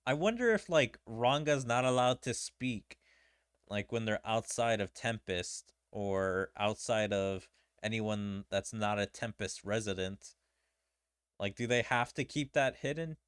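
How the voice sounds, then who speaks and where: A man's voice: fairly low pitch, unhurried, clean tone, dry recording, clean studio sound. A man giving casual, rambling gameplay commentary as a streamer, speculating as he goes with plenty of filler words. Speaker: video game streamer. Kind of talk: casual gameplay commentary with dialogue read aloud. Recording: game music behind the voice. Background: video game music.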